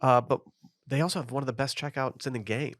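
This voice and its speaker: Casual male podcast host, bright voice, dry close mic, rambly delivery. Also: mid-range voice, fairly even intonation, fast, no room sound. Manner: loose, rambling, lots of fillers. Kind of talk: casual podcast chat with ad reads.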